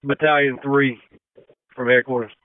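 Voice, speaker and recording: A male fire dispatcher relays details to crews by radio. His voice is clear and of average pitch. The channel is dry and narrow, like a phone line. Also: level tone, moderate pace, no echo.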